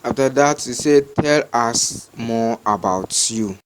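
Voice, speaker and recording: Male tutorial presenter, Ghanaian accent, slow and repetitive delivery, slightly noisy home recording. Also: mid-range voice. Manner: repetitive, halting instruction. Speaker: tutorial host. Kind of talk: step-by-step screen tutorial, unscripted.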